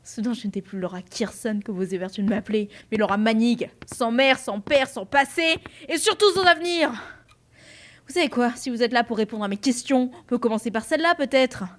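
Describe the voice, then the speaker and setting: A woman's voice: a little high, brisk, close to an everyday voice. A woman playing a bitter, sarcastic woman in her twenties, blunt and sardonic, in a French audio drama. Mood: bitter, angry. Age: 20s.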